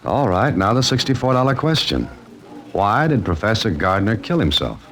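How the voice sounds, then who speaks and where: Dry American amateur detective, 1940s radio serial, low register, lively inflection, music-heavy vintage recording. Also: male voice, normal speed. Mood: probing. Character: wry, self-possessed male amateur sleuth narrating his case. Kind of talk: 1940s radio drama acting and narration.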